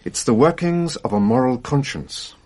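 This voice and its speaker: An actor playing a pragmatic family doctor in a radio drama, consoling in a brisk, matter-of-fact way. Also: male voice, medium pitch, animated, darkish tone, natural voice.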